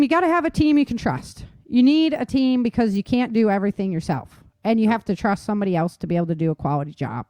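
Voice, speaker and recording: A woman with a mid-pitched voice hosts a relaxed, informal chat. She talks in slangy phrases and repeats words for emphasis. The sound is dry, with little room on it. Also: no room sound. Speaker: talk show host, author. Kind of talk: casual chat on survival tips.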